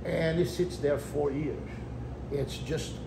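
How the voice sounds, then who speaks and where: A man in his fifties who owns a restaurant, explaining things in an animated, gesturing way during a casual interview. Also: fairly high pitch, slow pace, creaky. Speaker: restaurant owner. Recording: echoey room with ambient noise.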